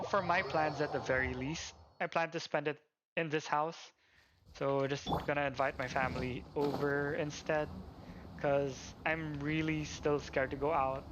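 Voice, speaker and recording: Higher-voiced man rambling on a game stream. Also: dull audio, background noise. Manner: rambling, thinking aloud. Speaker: game livestreamer. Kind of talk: casual livestream chat while gaming. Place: at home. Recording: game music playing behind. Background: video game music.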